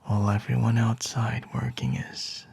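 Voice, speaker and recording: A man doing an ASMR roleplay as a boss, speaking in a slow, teasing, intimate whisper close to the microphone. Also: on the low side, very animated, vocal fry, a little mellow, slightly rough, no echo.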